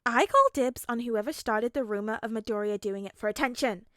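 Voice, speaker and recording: A woman's voice: on the high side, fairly quick, a bit bright, no room sound, studio quality, close to an everyday voice. A woman voicing an indignant young friend in a message chat, emphatic and full of questions. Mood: indignant, puzzled.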